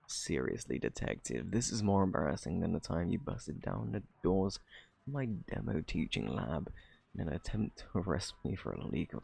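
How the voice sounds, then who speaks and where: Man acting a gravelly, flirty British thief. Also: low-pitched, exaggerated voice. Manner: playful, taunting, drawn-out theatrical delivery. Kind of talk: ASMR roleplay, intimate scripted monologue.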